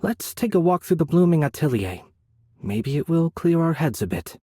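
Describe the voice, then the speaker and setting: A man playing a courteous, composed young noble mage in a video game, soft-spoken and slightly breathy, with polite, measured, formal phrasing. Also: fairly high pitch, very animated, no room sound, clean studio sound. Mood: gentle, subdued. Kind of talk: video game character voice acting.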